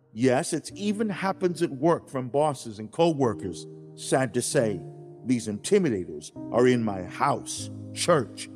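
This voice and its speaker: A man playing God in a dramatized audiobook, speaking directly to humankind in a grave, fatherly, deliberate way with emphatic enunciation. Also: medium pitch, darkish tone.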